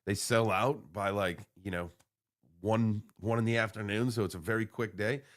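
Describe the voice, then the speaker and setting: A man's voice: fairly low pitch, steady tone, normal speed. An American man in his early forties hosting a livestream with casual, rambling, informal and sometimes sweary chat. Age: early 40s. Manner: laid-back, informal, sweary. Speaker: livestream show host.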